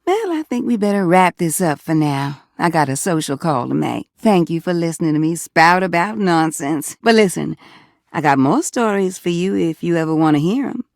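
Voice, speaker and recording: A woman's voice: lowish voice, animated, normal speed, no echo, clean studio sound. A woman voicing a flirty, teasing, gossipy household appliance in a video game, with a Southern US accent and sweet-talking manner. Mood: wistful, musing. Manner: sweet-talking, teasing, drawling terms of endearment. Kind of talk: video game character voice acting.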